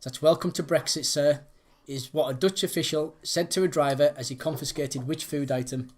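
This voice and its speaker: A man's voice: on the high side, steady tone, moderate pace. A man hosting a quiz, reading out questions and chatting casually between them. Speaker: quiz host.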